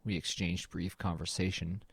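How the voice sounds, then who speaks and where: A man's voice: low-pitched, flat delivery, normal speed, slightly rough, no room sound. A man playing a brooding, melancholy artist who narrates an audio drama in a reflective, understated, slightly hesitant way. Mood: brooding, uneasy.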